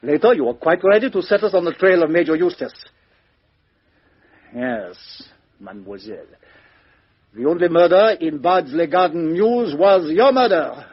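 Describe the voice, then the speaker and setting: An actor playing a shrewd, methodical foreign detective in a 1950s radio mystery, speaking in a deliberate, courteous, theatrical way with rhetorical questions. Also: male voice, a little high, lively intonation, slightly rough. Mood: accusing, assured. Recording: dull lo-fi 1950s radio recording.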